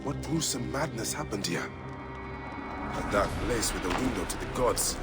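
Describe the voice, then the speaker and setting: An actor playing a brooding ancient Egyptian investigator in a video game, speaking gravely as he muses to himself. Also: fairly low pitch, expressive, moderate pace, lightly acted. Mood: grim musing. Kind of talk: video game character dialogue. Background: game music.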